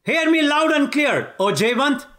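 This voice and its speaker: A man's voice: extremely high pitch, animated, a little slow, bright, forceful tone, voice pushed up, exaggerated voice. A man with Tamil as his first language voicing a righteous young warrior king who declaims formally and solemnly. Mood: solemn commanding.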